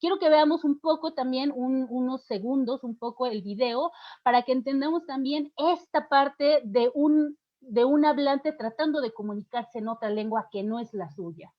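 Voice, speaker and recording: Middle-aged Mexican woman teacher, higher voice, explains patiently with many fillers; muffled lo-fi audio. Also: normal speed. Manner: explanatory, poses questions and answers them, frequent fillers and restarts. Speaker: literature teacher giving a lecture. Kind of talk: academic lecture to students.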